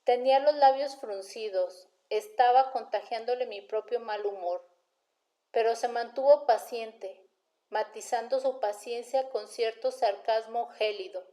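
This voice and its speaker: A woman's voice: fairly high pitch, measured pace, dark tone. A woman reading a first-person crime novel aloud as an amateur audiobook narrator.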